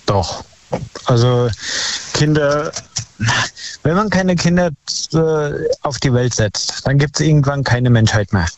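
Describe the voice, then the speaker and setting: A man's voice: on the high side, takes it slowly, long pauses. A man calling a talk show by phone, chatting in a blunt, slangy, opinionated way. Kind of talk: casual, slangy phone chat. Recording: muffled, dry phone call audio.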